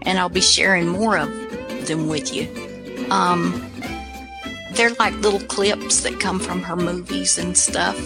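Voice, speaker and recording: A woman's voice: fairly low pitch, fairly even intonation, measured pace, long pauses, over music. A woman casually hosting a radio show in a rambling, folksy way.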